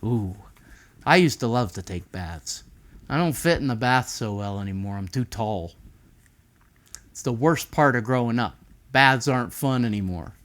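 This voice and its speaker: A man's voice: medium pitch, animated, normal speed, fairly bright tone. A man reading a picture book aloud to children, with chatty, improvised asides and childlike enthusiasm.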